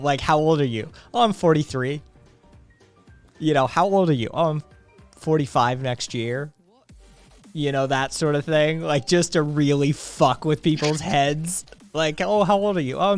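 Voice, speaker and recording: A man's voice: fairly high pitch, moderate pace, smooth, soft background music. Laid-back profane streamer man. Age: late 30s. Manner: profane, rambling, laid-back with frequent fillers. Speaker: streamer host. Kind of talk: casual live-stream chat.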